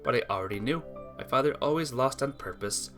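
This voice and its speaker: Someone reading a story game out loud, earnest, bright voice, music in the back. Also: medium pitch, animated, a little fast. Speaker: visual novel player reading the text aloud. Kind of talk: narrated reading of a sentimental story. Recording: music behind the voice.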